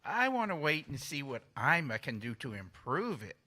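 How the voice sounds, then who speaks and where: A man acts an earnest husband in a fairy-tale stage comedy. The voice is bright and very animated in pitch, cautious about houses yet full of faith. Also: moderate pace, full character voice. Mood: hopeful. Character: cautious but hopeful husband house-hunting, named Cole. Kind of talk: scripted stage parody dialogue.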